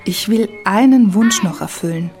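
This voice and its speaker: A woman's voice: mid-range voice, expressive, normal speed. Female first-person feature narrator, wry and musing, mid-range voice, music behind. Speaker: narrator playing a wish-granting fairy.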